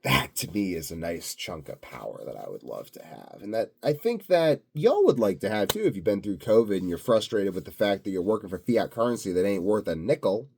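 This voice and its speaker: A man's voice: on the low side, sing-song, a little fast, creaky, few pauses, a little mellow, breathy edge. A self-assured, blunt man rambling unscripted to his viewers in a grandiose solo monologue. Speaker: solo video host.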